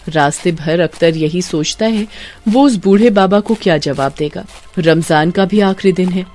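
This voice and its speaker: A woman narrates a cartoon tale in a scripted storytelling style. Her voice sits slightly low, and soft music plays underneath.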